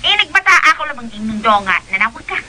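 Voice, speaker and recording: Casting: comic, chirpy Cebuano woman on a very noisy phone line, wildly swooping high voice. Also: big pitch swings, fast. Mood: chirpy, obliging. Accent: Cebuano Filipino. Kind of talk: radio drama acting.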